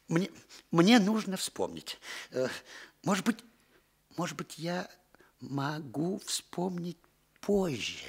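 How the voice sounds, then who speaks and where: An actor playing a frightened, evasive small-time informer in a radio drama, stammering and hesitant. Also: male voice, a little high, very animated, slow, vocal fry, long pauses, slightly rough, heavily acted. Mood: fearful, hesitant. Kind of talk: Soviet radio drama acting.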